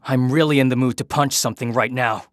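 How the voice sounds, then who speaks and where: A man's voice: mid-range voice, steady tone, brisk, no room sound, studio quality, lightly acted. An actor playing a hot-headed but protective young man in a visual novel game. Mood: irritated.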